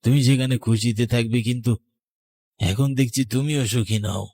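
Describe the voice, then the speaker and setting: A man playing the vengeful ghost of a murdered young lover in an animated horror story, accusing and deliberate. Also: mid-range voice, natural voice. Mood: bitter, sorrowful.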